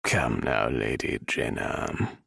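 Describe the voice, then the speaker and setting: Guy doing a creepy silky viscount, deep and gravelly, dry sound. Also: sing-song, slow, bright, forceful tone, no room sound, heavily acted. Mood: silkily menacing. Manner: low, silky, threatening. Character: menacing silky male viscount villain.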